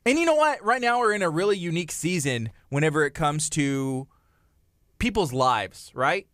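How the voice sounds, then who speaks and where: Man, 30s, morning radio co-host, chatty, upbeat, slightly high voice, dry studio mic. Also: very animated, normal speed, a bit bright, no room sound. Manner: upbeat, chatty, laughs often, restarts phrases. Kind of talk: casual live radio chat. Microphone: studio microphone.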